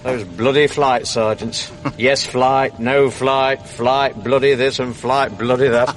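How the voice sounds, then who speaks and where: An actor playing a middle-aged college porter, deferential and talkative, in a radio play. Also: male voice, mid-range voice, steady tone, slow, lightly acted. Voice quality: deferential, repeatedly says "sir". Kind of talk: 1980s radio drama acting.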